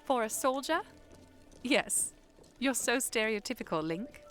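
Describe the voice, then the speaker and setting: A woman's voice: a little high, animated, unhurried, a bit bright, soft background music. A woman playing a playful, bossy young princess in an audio drama, teasing and commanding. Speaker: voice actor.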